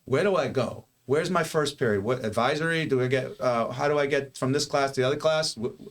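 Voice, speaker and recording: A man who leads a school talks in an animated, rambling way. He piles up rhetorical questions and restarts his sentences. His voice sits at an ordinary middle pitch. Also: a little fast. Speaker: head of school guest. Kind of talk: animated casual talk.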